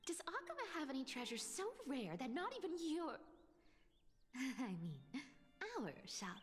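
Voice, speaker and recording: A woman's voice: high-pitched, very animated, unhurried. An actress playing a playful, knowing female fantasy shopkeeper in a video game, teasing and theatrical. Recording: reverberant game audio with music.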